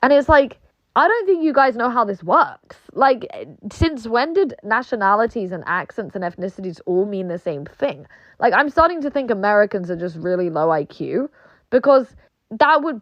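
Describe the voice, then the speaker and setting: A woman's voice: mid-range voice, expressive, normal speed, no room sound, muffled recording. Casual British-accented woman ranting, dry sound. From not British; moved to England. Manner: swears often, many "like" fillers, mocking quoted voices.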